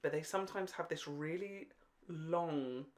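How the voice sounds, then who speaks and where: Animated, sweary podcast co-host with a mid-pitched voice. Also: unhurried. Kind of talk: casual fan chat about television.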